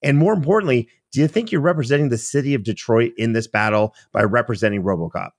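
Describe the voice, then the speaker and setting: A man's voice: mid-range voice, fast, no echo. Chatty male podcast host, close sound. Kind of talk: conversational show hosting with banter.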